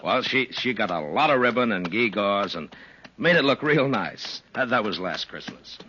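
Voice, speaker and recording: An American man acting as a laconic, low-key frontier lawman in a 1950s radio western. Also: animated, moderate pace, pushed and bright, husky, exaggerated voice. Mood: reflective, halting. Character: laconic frontier lawman telling stories on the trail. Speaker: lead actor and narrator. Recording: muffled vintage radio recording with music.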